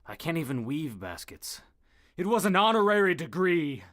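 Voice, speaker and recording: A man playing an indignant, sarcastic reporter husband in a comedic audio drama, melodramatic and quick to wisecrack. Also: a little high, big pitch swings, a bit bright, dry recording, clean studio sound. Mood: defensive, bitter.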